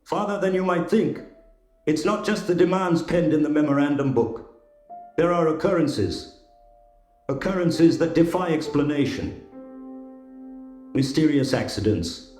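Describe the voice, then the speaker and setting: A man's voice: on the high side, measured pace, long pauses, a little mellow, echoey room, close to an everyday voice. A man playing a grave, formal opera house manager in an audio drama, speaking solemnly and with an ominous air. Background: plucked guitar music.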